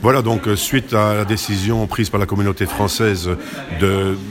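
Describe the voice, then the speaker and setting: A man's voice: on the low side, flat delivery, moderate pace, crowd noise. A man answering interview questions as a committee president, explaining in detail and often correcting himself.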